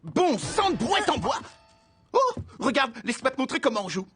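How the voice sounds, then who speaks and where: A man voicing an over-excitable, bossy and boastful rock-loving cartoon dad with a theatrical delivery. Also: very high voice, moderate pace, slightly rough, soft background music, full character voice. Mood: indignant. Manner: theatrical, shouty outbursts. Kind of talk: French animated series dub.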